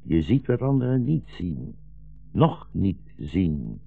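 An actor playing a weary, gentle old blind rabbi in a 1960s radio drama, speaking slowly and solemnly as a philosophical storyteller. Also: male voice, low voice, wildly swooping pitch, creaky, breathy edge, soft background music, exaggerated voice. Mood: bitter, resigned. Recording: dull, roomy 1960s radio recording.

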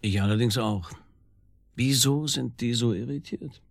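An actor playing a shrewd homicide detective in a radio crime drama, probing with dry irony. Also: male voice, medium pitch, unhurried, vocal fry. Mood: wry, needling. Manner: ironic, probing, chuckling understatement.

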